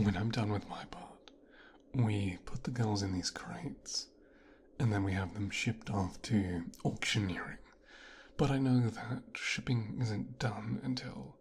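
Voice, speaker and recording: A man playing a possessive, smooth-talking son of a mafia boss in an intimate, hushed ASMR audio roleplay. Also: on the low side, a little hiss or hum. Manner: slow, hushed, half-whispered delivery with deliberate pauses.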